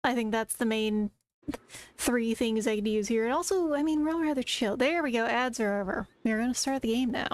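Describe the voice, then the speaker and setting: A woman's voice: a little high, a little fast. A woman streamer chatting casually to her viewers in a rambling, talkative way. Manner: rambling, chatty, talks to chat. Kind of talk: casual live-stream chat.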